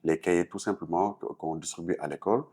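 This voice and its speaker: Senegalese guy with a really deep low voice, talking through interview answers, earnest and explaining stuff. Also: fairly even intonation, fast, a little mellow, slightly rough. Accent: Senegalese French. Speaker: talk show guest, artist and activist.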